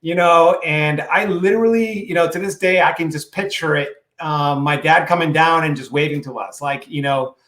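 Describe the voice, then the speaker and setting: A man's voice: a little high, normal speed, hardly any pauses, fairly bright tone. A chatty man in his forties, originally from Puerto Rico with Spanish as his first language, speaking in a slightly raised voice. He answers interview questions in a loose, casual way, full of "you know" asides. The sound comes over a video call from a room at home with a roomy echo. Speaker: podcast guest.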